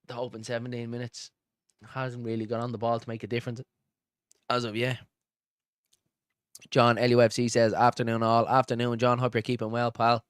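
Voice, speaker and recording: A man's voice: medium pitch, completely flat delivery, fairly quick, long pauses, smooth, no echo, clean studio sound. A man with a Dublin Irish accent doing live football commentary and informal, matey chat as host of a fan watchalong stream. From Dublin, Ireland. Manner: informal, matey, addresses viewers as pal and buddy.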